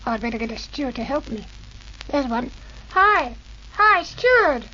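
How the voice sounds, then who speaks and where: A woman in a vintage radio play voicing Johnny, an eager, brave young boy who chatters excitedly. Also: high-pitched, moderate pace, full character voice. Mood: puzzled. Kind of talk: 1940s radio acting. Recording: dull noisy old radio recording.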